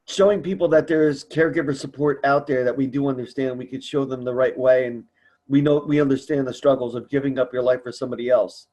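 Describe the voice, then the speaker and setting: A man's voice: mid-range voice, steady tone, fast talker, dark tone. Guy hosting a support group call, chatty and earnest, kinda rambles, regular mid voice. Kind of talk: casual conference-call conversation.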